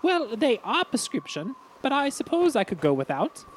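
A woman's voice: on the high side, big pitch swings, normal speed, a little hiss or hum, low-quality recording. A woman voicing a polite, eager teenage student assistant in a comedic cartoon, speaking in a proper, deferential butler manner.

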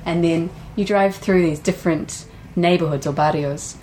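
A woman's voice: on the low side, steady tone, normal speed, darkish tone, slight background noise, poor audio. A woman co-hosting a travel podcast who talks in a chatty, enthusiastic way. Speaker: podcast co-host. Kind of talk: casual travel chat.

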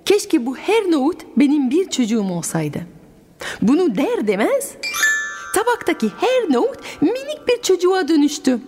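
French woman storyteller with a lively voice. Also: high-pitched, in a large hall. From France. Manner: expressive, musical storytelling. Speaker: radio host and storyteller. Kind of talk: storytelling for adults on radio.

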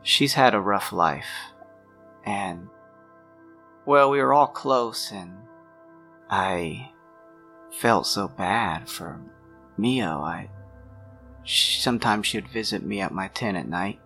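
Man playing halting, guilt-ridden young guy, gravelly. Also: fairly low pitch, expressive, sharp tone, soft background music, heavily acted. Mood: guilty, regretful. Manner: halting, hesitant, trailing off.

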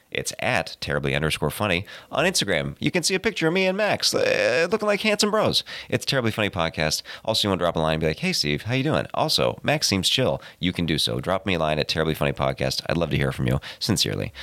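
A man hosting a comedy podcast, congested and a little raspy from a cold, upbeat and playful as he chats. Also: on the low side, no room sound. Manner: upbeat, playful, lively intonation. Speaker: podcast host. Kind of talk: casual podcast chat.

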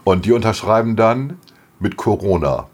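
A middle-aged man co-hosting a podcast, slightly hoarse, chatting casually and laughing often. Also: on the low side, expressive, normal speed, a little mellow. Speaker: podcast co-host. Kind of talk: casual chat.

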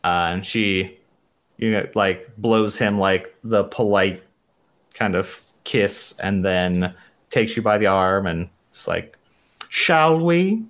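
A man's voice: low-pitched, level tone, slow pace, a bit bright. A man narrating a tabletop game as game master, casual with frequent fillers.

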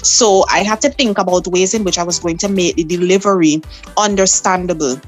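A woman's voice: on the low side, brisk, soft background music. A young adult woman with a Vincentian accent, a soca artist recounting her performances in an interview, laughing often. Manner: lively storyteller, laughs often. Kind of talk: interview storytelling.

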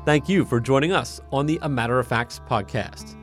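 A man hosts a podcast, speaking in a measured, formal way. Faint guitar music plays softly behind him. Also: mid-range voice. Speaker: podcast host. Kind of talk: podcast hosting and outro.

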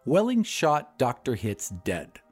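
A man narrates as the host of an audio story show. His delivery is scripted and dramatic, with a medium-pitched voice that moves expressively. Music plays underneath. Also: wildly swooping pitch, slow pace.

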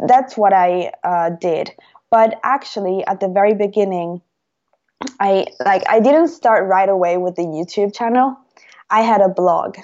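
Italian-accented woman, slow and hesitant. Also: medium pitch, dark tone, muffled recording. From Italy, Rome. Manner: hesitant, many fillers. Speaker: language teacher, podcast guest. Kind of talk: interview answers.

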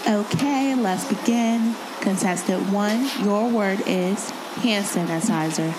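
A woman's voice: mid-range voice, slow. A woman speaking formally as the judge of a spelling contest. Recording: noisy background ambience.

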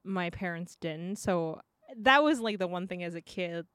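A woman in her thirties from France who grew up speaking Cantonese and French. Her voice sits a little low, and the sound is close and dry. She talks casually, as a guest sharing personal recollections. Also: animated, normal speed, fairly bright tone, smooth, dry recording. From France, outside Paris. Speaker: interview guest; artist.

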